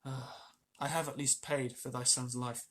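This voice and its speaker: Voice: mid-range voice, flat delivery, measured pace, a bit bright, natural voice. A storyteller voicing a proud jungle boy who gives firm, scornful commands.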